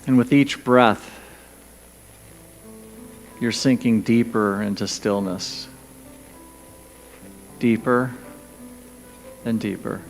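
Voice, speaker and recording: A man leading a guided meditation, speaking gently and soothingly in short, spaced phrases with pauses. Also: lowish voice, fairly even intonation, vocal fry, rough recording. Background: background music.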